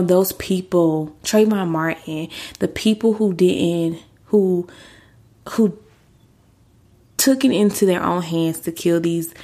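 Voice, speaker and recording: A young woman hosting a faith-based podcast, six months pregnant and a little out of breath, talking earnestly and casually to her listeners. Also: dark tone. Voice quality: deeper than usual. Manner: earnest, chatty, uses y'all. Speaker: podcast host.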